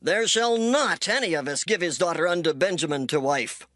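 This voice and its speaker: A man's voice: on the high side, moderate pace, fairly bright tone, no echo, studio quality, lightly acted. An actor playing a troubled, earnest Israelite man in a declamatory dramatized scripture reading. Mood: resolute.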